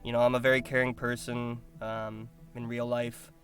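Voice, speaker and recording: A man's voice: mid-range voice, monotone, a bit bright. Thoughtful, hesitant man over guitar music. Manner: hesitant, thoughtful, pausing to find words. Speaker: voice actor being interviewed. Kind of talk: casual interview answers.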